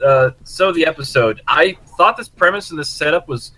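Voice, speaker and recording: A man's voice: on the high side, animated, moderate pace, sharp tone, poor audio. A man co-hosting a casual group discussion of a cartoon episode, speaking in an opinionated, emphatic way. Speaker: podcast co-host.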